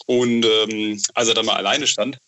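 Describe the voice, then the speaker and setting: A man's voice: fairly low pitch, steady tone, normal speed, bright, forceful tone, muffled recording. A middle-aged man chatting casually and enthusiastically as an interview guest, often repeating the starts of phrases as he searches for words. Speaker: podcast interview guest, novelist.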